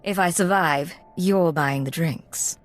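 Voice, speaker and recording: A woman's voice: fairly low pitch, slow pace, faint music behind. A woman playing a cool, self-possessed Imperial agent in a video game, speaking directly. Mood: wry, sympathetic.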